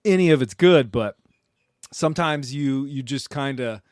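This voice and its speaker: A man hosting a podcast aboard a sailboat, chatting casually in a relaxed, rambling way full of fillers. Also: mid-range voice, unhurried, dry recording, studio quality. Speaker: podcast host. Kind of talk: casual conversation.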